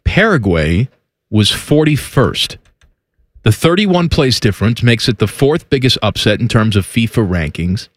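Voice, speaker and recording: A man's voice: medium pitch, very animated, measured pace, no echo. A man co-hosting a casual podcast chat with dry, teasing humor. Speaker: podcast co-host.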